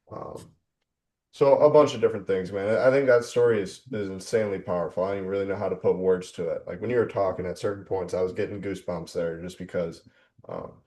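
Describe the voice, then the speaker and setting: A young man with a dark, relaxed voice on the low side hosts a casual interview. He talks informally, dropping in plenty of buddy-like slang. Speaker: interview host. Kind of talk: casual chat.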